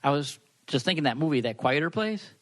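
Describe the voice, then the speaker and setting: A man's voice: a little high, animated, a little fast. A young man co-hosting a podcast, joking and hedging with lots of "I mean" in casual chat. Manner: joking, hesitant, many "I mean". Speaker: podcast co-host, husband.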